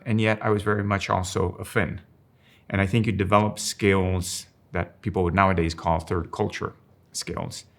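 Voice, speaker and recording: Low gravelly older man, measured. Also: a bit bright. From Finland, grew up in Rome. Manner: thoughtful, measured, slows down to stress key words. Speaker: strategic designer and professor. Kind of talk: reflective interview monologue.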